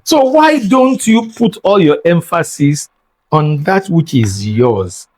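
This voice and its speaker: Older Nigerian-accented man, native Yoruba speaker, interview guest, emphatic lecturer, slightly high voice. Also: sing-song, slow. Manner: emphatic and lecturing, repeats words for stress. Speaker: guest scholar. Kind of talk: reflective interview talk.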